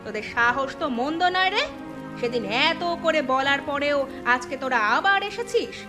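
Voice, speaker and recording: A woman's voice: sits high, expressive, moderate pace, over music. A woman voicing a mean village aunt in a cartoon, scolding and sneering. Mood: scornful, angry. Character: mean, scornful village aunt, doting only on her son. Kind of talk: cartoon story dialogue.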